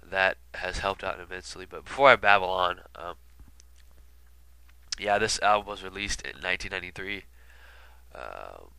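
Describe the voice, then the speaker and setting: A man's voice: sits low, lively intonation, moderate pace, creaky, pauses often, pushed and bright, clean tone, no room sound, poor audio. A man with a stuffy nose from being sick, commenting casually and rambling with lots of fillers. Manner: rambling, many fillers and asides. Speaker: video commentator. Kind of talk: casual album retrospective commentary.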